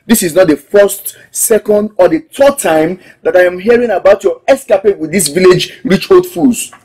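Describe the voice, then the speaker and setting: A man's voice: fairly high pitch, big pitch swings, a little fast, darkish tone, recorded from a distance, faint music behind. An actor playing a tired young man who refuses his lover, with a firm, exasperated manner. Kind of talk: film acting.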